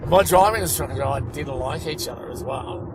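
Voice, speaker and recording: A middle-aged Australian man, a comedian chatting casually and telling anecdotes in slangy, sweary language. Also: normal speed. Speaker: stand-up comedian. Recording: noisy in-car recording, traffic rumble.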